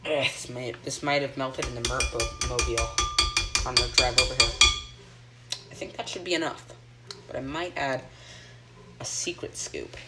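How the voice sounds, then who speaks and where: Teen boy host, deadpan joke cooking show, phone-filmed in a kitchen, hissy audio. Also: medium pitch, unhurried, pauses often. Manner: deadpan, rambling, with ums and mock instructions. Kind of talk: joking mock cooking show. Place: home kitchen, indoors. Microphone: phone camera held by a friend. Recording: noisy home phone video.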